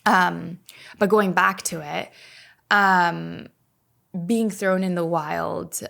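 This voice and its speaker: A woman's voice: on the low side, very slow, creaky. A woman in her thirties, a native Slovak speaker raised in Canada, chatting casually as a podcast guest with plenty of filler words. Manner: relaxed, many "like" fillers. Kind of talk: casual conversation.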